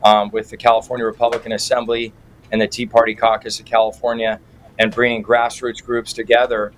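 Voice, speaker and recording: A man's voice: medium pitch, monotone, brisk. Activist interview guest: American man, mid-range voice, irreverent and folksy, slight noise behind him. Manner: colloquial, irreverent, folksy turns of phrase. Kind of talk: conversational interview answers. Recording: clearly noisy background.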